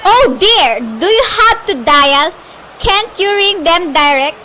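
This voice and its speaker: A woman's voice: very high voice, animated, slow pace, sharp tone, heavily acted, rough recording. A woman playing an anxious, demanding, indignant married woman on the telephone in an amateur radio play. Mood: agitated, demanding. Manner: fretful, demanding, exclaiming. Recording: faint background noise, amateur home recording.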